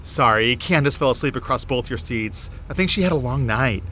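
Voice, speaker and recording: An actor playing a friendly, apologetic fellow traveller in an audio drama. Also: male voice, mid-range voice, lively intonation, brisk, pushed and bright. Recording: faint outdoor noise.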